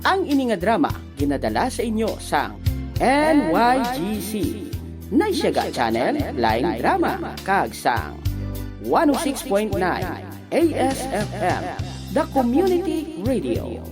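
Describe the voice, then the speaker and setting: A woman narrator closes a community radio drama with a lively, questioning announcer tone. Her pitch swings widely. Music plays clearly under her voice. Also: slow pace. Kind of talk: scripted narration.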